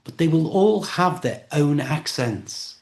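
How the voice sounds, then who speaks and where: A man's voice: a little high, slow pace. A man from Liverpool with a Liverpool accent, an English conversation tutor explaining things in a casual chat. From Liverpool, England. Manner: lively explaining, with accent impressions.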